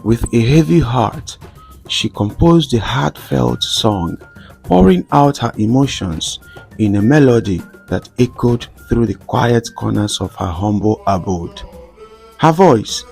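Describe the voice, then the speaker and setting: A man narrating a scripted folktale in a measured, formal storytelling manner. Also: medium pitch, lively intonation, gravelly, darkish tone, soft background music.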